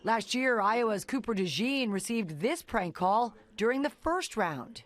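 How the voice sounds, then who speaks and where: A woman's voice: on the high side, animated, unhurried. A woman with an American accent narrating a scripted television news report as a correspondent.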